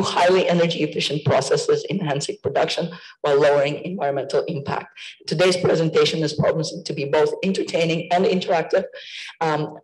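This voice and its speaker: A woman with a croaky voice, formally introducing a guest speaker as seminar co-chair in a lecture theatre. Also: low-pitched, a little mellow, poor audio.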